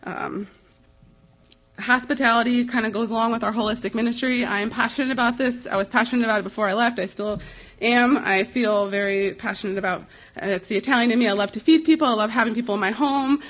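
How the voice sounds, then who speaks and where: A woman's voice: a little high, quick pace, a bit bright. American woman giving an earnest talk, dull recording. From United States. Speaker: missionary giving a ministry report. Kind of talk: informal presentation with slides. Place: live talk before an audience.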